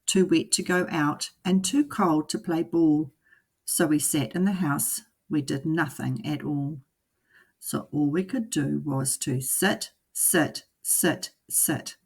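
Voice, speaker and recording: A woman, a teacher, reading a children's story aloud in an expressive storytelling style. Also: sits low, slow, husky.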